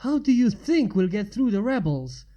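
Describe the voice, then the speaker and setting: A woman's voice: mid-range voice, big pitch swings, moderate pace, dark tone, dull audio, natural voice. Woman reading a distressed, hunted fantasy prince. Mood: alarmed. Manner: hesitant, stammering.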